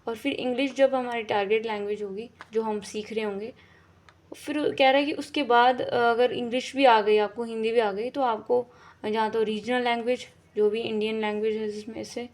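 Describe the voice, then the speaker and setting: A woman's voice: on the high side, darkish tone. A woman teaching an exam-prep lesson in a casual explaining way, often checking in and rephrasing her points. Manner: repeats "ठीक है" and rephrases often, with fillers.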